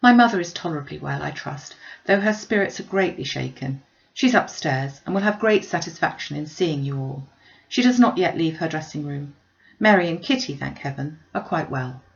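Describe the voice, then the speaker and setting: A woman voicing a gentle, anxious young elder sister in an audiobook of a classic novel, earnest and reassuring. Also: deep voice, animated, moderate pace, gravelly, lo-fi sound.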